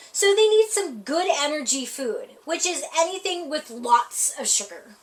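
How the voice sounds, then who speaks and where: A woman reading a children's picture book aloud for a library storytime, with a playful, expressive delivery. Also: sits high. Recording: voice heard from a distance off the mic.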